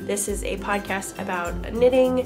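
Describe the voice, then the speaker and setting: Young female host, congested, casual and chatty, mid pitch. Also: a little slow, music in the background. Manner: chatty, lots of ums and likes. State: congested, getting over a cold. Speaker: knitting podcast host. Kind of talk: casual chat to camera.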